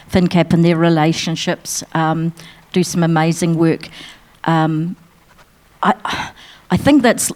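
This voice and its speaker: NZ woman, panelist, lowish worn voice, earnest and halting, passionate. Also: a little mellow. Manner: earnest, passionate, many ums and restarts. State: losing her voice. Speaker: financial mentor panelist. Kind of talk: panel discussion answer. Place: conference room.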